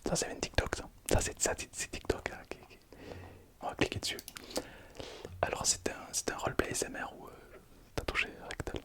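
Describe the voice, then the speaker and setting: Young man whispering, playful. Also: a little fast. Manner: whispering, playful, slangy, laughs often. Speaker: ASMR content creator. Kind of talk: whispered ASMR video, reading comments.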